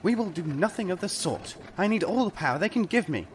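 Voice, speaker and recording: A male actor playing a pompous, fretful rich gentleman with genteel old-fashioned phrasing in a comic audio drama. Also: high voice, lively intonation, a little fast, slight background noise. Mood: panicked. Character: fussy, panicky rich gentleman, pompous and perfumed.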